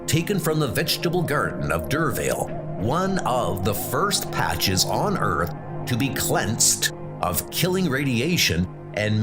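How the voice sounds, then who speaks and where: A man narrating a comic-book story in a theatrical, emphatic, scripted style. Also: mid-range voice.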